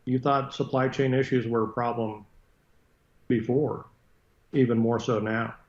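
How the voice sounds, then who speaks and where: A man's voice: medium pitch, flat delivery, unhurried. An American man speaking as an interview guest, blunt and matter-of-fact in a conversational style. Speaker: interview guest, retired military fighter pilot and aviation businessman. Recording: roomy echo, dull muffled sound.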